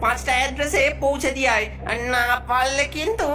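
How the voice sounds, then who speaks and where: Here is a greedy male ghost boss from a cartoon, played by a woman, pitched high over background music. He bullies and schemes like a moneylender, scolding his underlings and gloating over them. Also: fast, natural voice. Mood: cunning orders. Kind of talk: cartoon voice acting.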